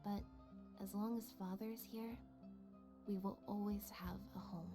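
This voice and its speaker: An actress playing a calm, reserved young woman in a video game, speaking in an even, understated way. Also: medium pitch, measured pace, close to an everyday voice. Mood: earnest. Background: game soundtrack music.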